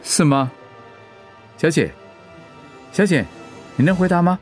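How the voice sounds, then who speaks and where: A calm male paramedic in a radio drama. He questions in a businesslike way, his voice pitched a little high, with faint music behind. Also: unhurried. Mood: calm, questioning.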